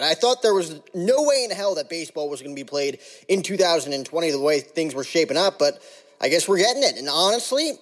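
A man hosting a sports podcast, talking about baseball in an energetic, hyped way with casual slang. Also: a little high. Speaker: podcast host. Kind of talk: casual podcast intro and interview.

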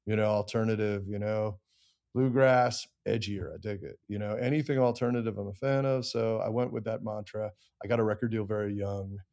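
A man's voice: fairly low pitch, fairly even intonation, fairly quick, darkish tone. A middle-aged man with an American accent, telling his story candidly and self-deprecatingly as an interview guest. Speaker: interview guest, songwriter and producer.

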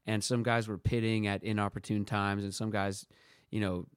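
A man's voice: lowish voice, level tone, normal speed, clear, resonant tone, no echo, clean studio sound. A young man chatting casually on a podcast, rambling and laughing often. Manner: rambling, laughs often, does silly voices. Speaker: podcast co-host. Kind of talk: casual chat about motor racing.

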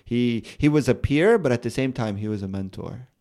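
A man's voice: mid-range voice, brisk. A man in his twenties, a rapper and poet, talking casually in an interview, full of "like" and repeated "yeah". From United States. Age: 20s. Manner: relaxed, repeats words and fillers, many "like" and "yeah, yeah".

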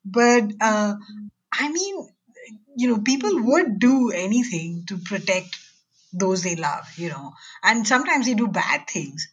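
Breathy-voiced woman interviewer. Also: mid-range voice, sing-song, unhurried, rough recording. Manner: chatty, with many short backchannel murmurs. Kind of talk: conversational interview.

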